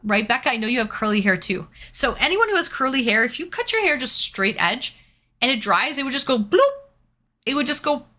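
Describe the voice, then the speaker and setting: A woman's voice: sits high, sing-song, fairly quick, pushed and bright. A young woman from Canada chatting casually with viewers while painting and reading out their comments. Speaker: streamer.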